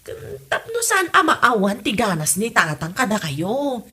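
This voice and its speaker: A woman's voice: a little high, big pitch swings, a little slow, bright, forceful tone, exaggerated voice. A woman with an Ilocano accent playing a considerate, polite younger woman visiting an older couple in a comedy audio drama. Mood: friendly.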